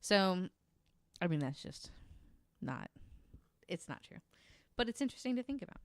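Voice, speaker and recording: A woman's voice: fairly low pitch, big pitch swings, moderate pace, dry recording. A woman co-hosting a podcast, telling true-crime stories in a casual, amused, informal and sweary way. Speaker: podcast co-host.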